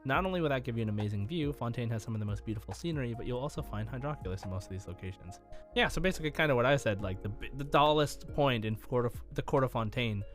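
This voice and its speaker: A man hosting a casual gaming podcast, chatting in a halting, rambling way. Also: mid-range voice, animated, a bit bright, soft background music. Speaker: podcast host.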